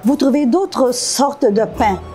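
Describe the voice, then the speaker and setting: Casting: woman in her fifties, Lebanese accent, soft mellow tone, interview guest, faint music behind. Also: high-pitched, animated, takes it slowly. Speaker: guest, café co-owner. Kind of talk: conversational interview answers. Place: indoor café.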